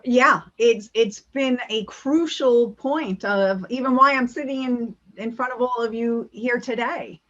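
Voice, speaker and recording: A woman's voice: medium pitch, fairly bright tone, dull audio. A woman giving an earnest spiritual talk over a video call, with many ums and restarts. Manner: earnest, many fillers, speaks of spiritual energy. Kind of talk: online talk and Q&A.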